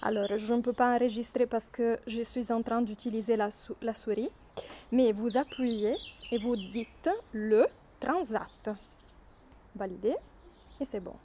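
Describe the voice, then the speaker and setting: Woman, Italian native, higher voice, very slow delivery, workshop app demo, talks through each click. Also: fairly even intonation, dark tone, slight background noise. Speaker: workshop leader teaching learners. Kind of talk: step-by-step app demonstration.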